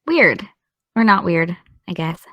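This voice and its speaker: Actress as a young woman, caring new friend, bit tentative, teases gently, casual audio drama, dry sound. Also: on the low side, big pitch swings, slow pace, no echo, lo-fi sound, exaggerated voice. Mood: gently candid. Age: young adult. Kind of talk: naturalistic audio drama dialogue.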